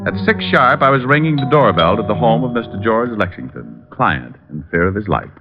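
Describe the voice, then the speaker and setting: A flippant, unflappable private eye narrates and trades sardonic lines. His voice is lowish and very animated. It comes from a dull old radio recording with music behind it. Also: a little fast. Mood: wry narrating. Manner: breezy, sardonic, dry wit. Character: flippant wisecracking male private detective, self-assured and unflappable. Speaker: lead actor and narrator. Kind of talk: old-time radio detective drama.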